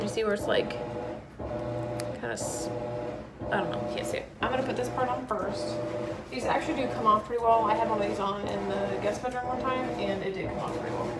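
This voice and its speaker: A woman's voice: fairly high pitch, lively intonation, brisk. A middle-aged woman vlogging at home, thinking aloud in casual chat as she works. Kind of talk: casual chat while decorating. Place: small laundry room indoors at home. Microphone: camera or phone set a few feet away. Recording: roomy, distant mic, noisy background.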